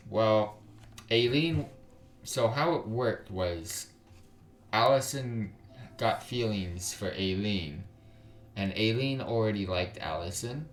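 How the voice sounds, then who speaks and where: Deep male gamer, casual rambling let's-play commentary, echoey room with faint hiss. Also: lively intonation, slow, pauses often. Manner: casual, rambling, filler-heavy. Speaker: gaming channel host.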